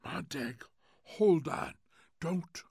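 A man's voice: on the high side, lively intonation, measured pace, breathy edge, dry recording, studio quality, voice pushed up, heavily acted. Man voicing a scraping, anxious secret mentor. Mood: urgent. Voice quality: hushed, scraping. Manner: pleading, urgent. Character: anxious male mentor, urgent hidden adviser. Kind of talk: audiobook character voice.

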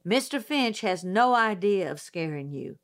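A woman reading a storybook voices a gruff older male judge who tries to coax a witness. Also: mid-range voice, animated, takes it slowly. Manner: gruff, coaxing.

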